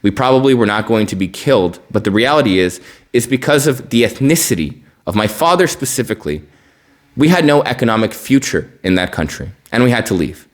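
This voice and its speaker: A man's voice: medium pitch, sing-song, a little fast. A man gives an opinionated news report, explaining emphatically and rephrasing his points. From born in Bosnia, partly raised in the United States. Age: 20s. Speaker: news podcast host.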